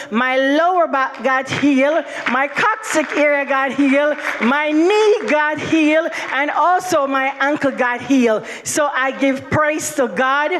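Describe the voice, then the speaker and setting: Jamaican woman, high voice, fervent repetitive praise testimony. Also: fairly bright tone. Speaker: testimony giver. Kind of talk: church healing testimony.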